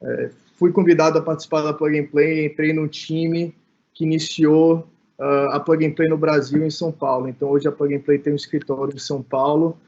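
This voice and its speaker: A man's voice: on the high side, little pitch movement, normal speed, a little mellow. A man with a Brazilian accent from Bahia, speaking informally as a guest in an online panel, often adding short check-in tags. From Salvador, Brazil. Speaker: innovation manager, guest speaker. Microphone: online call. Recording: dull, lo-fi online call audio.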